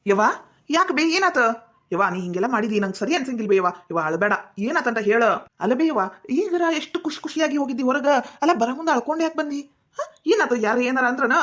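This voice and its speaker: Protective family man voiced by a woman, fairly high-pitched, emphatic, North Karnataka accent, amateur family drama. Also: animated, fast, fairly bright tone. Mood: worried, pleading. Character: earnest adult man, protective of his family.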